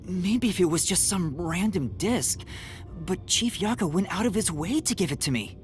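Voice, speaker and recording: An earnest young detective from a video game, played by a woman, boyish and a little low. The delivery is anxious and very animated, thinking out loud. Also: moderate pace, lightly acted. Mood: puzzled, earnest. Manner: earnest, reasoning aloud.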